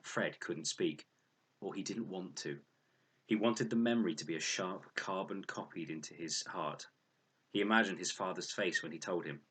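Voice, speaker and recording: A man narrates a storybook adventure expressively. His voice sits low, with a bright, forceful tone and a husky grain. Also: moderate pace. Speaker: audiobook reader.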